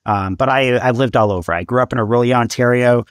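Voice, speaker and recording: A man in his thirties from Canada, a podcast guest, talking in a thoughtful, halting way with many fillers over a video call with headphones at home. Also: medium pitch, clean tone, no room sound, studio quality. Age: 30s. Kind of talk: casual interview talk. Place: home room with shelves of CDs.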